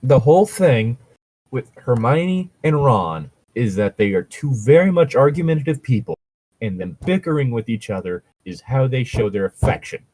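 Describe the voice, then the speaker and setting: Man, husky voice, very dry close sound, casual commentary, opinionated, argumentative, sweary. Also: medium pitch, big pitch swings, moderate pace, darkish tone, no echo, rough recording. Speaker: co-host reading and reacting to fan fiction. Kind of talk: casual commentary chat with readings.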